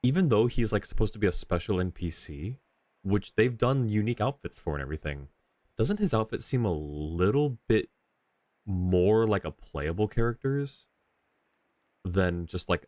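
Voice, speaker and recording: A male game streamer chats casually over his play. His voice is low and animated, with wide swings in pitch, recorded dry and close to the mic. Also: normal speed, dry recording. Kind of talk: casual live game commentary.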